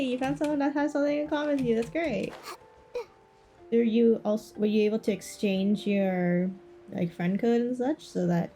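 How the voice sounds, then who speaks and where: A woman's voice: medium pitch, warm tone. A woman livestreamer chatting casually with her viewers, often repeating short phrases. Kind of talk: casual chat with stream viewers. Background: game music.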